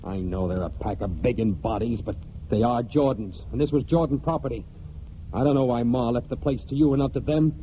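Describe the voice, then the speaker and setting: An actor playing a proud, hot-tempered young country man in 1940s radio drama, with folksy rural speech and dramatic pauses. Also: mid-range voice, slight background noise, natural voice. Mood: bitter, angry. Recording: dull 1949 radio recording, music behind.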